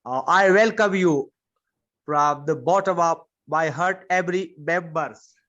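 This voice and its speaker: Man from Pakistan, halting, on a dull call. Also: on the high side, a bit bright. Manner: halting, simple non-native English. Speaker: new guest. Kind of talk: online meeting introduction. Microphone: video call.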